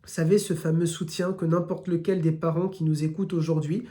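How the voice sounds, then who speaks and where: A man's voice: a little high, flat delivery, a little fast, warm tone. A man with a southern French accent giving a live religious lesson, building his points through repeated lists and speaking straight to his listeners. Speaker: Islamic teacher.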